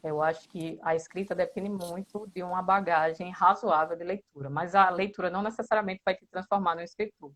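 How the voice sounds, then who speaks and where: A woman's voice: fairly low pitch, steady tone, brisk, few pauses, a bit bright. A Brazilian Portuguese-speaking woman giving casual, explanatory interview answers, often checking in with small tag questions. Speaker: interviewed member of a writing group.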